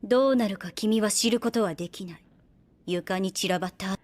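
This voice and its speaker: An actress playing a shrewd young woman soldier in an anime drama, composed, unhurried and coolly persuasive with a soft teasing edge. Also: medium pitch, natural voice. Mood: cool threat. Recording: background music under the voice. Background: music.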